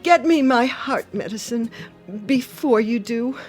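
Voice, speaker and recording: A woman's voice: fairly high pitch, a little slow, darkish tone, faint music behind, lightly acted. An actress in a radio drama playing a fretful, smothering older widowed mother who nags and guilt-trips with a martyred air. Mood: martyred, nagging. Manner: tearful, martyred, nagging.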